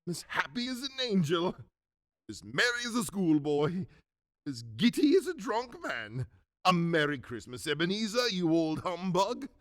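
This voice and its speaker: A man playing a reformed old miser in a radio drama, giddy with joy and stammering as he excitedly repeats himself. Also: sits high, moderate pace, no room sound, studio quality. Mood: giddy, overjoyed. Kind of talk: theatrical radio drama acting.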